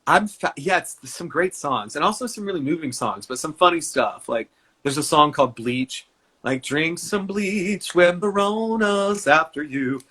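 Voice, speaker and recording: A man's voice: fairly high pitch, lively intonation, moderate pace, sharp tone. A man talking as a guest in a casual interview, joking and gossipy, with many fillers and restarts. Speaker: guest performer.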